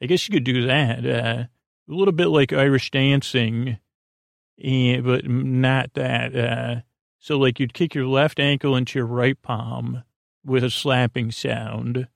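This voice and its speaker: A man hosting a bedtime podcast in a gravelly voice, meandering haltingly and often trailing off mid-thought. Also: medium pitch, fairly even intonation, no echo, studio quality. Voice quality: creaky, gravelly. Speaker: podcast host. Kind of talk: rambling bedtime monologue.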